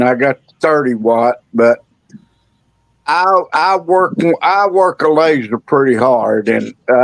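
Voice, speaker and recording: A man's voice: a little high, no echo, rough recording. A man speaking casually as a livestream guest, recounting his own experience. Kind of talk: casual personal storytelling.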